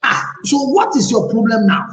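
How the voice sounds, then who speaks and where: A man's voice: extremely high pitch, expressive, normal speed, echoey room. Firm Ghanaian man counselor. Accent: Ghanaian English. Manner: firm, repeats his questions insistently. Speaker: relationship counselor host. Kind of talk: phone-in counselling show.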